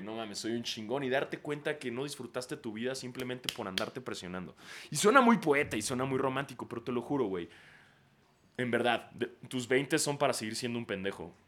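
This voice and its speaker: A man in his thirties with a Mexican accent, hosting a podcast and giving casual, profanity-laced advice, throwing in slang after almost every phrase. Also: medium pitch. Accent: Mexican Spanish. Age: 30s. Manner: swears freely and throws in "güey" constantly. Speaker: podcast host.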